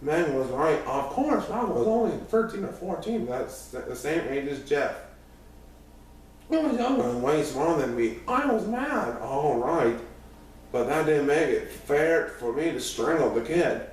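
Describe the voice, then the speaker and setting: A man's voice: fairly high pitch, very animated, measured pace. Echoey, distant man reading a story. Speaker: audiobook reader. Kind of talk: first-person scripted narration of a youth story. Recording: very echoey room, distant mic, faint noise.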